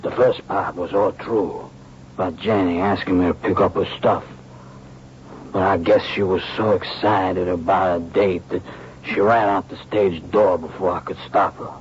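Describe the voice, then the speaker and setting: An actor playing a nervous, defensive theater worker, halting and plain-spoken, in a radio drama. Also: male voice, low-pitched, steady tone, lightly acted. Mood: defensive confession. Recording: dull, noisy old radio recording.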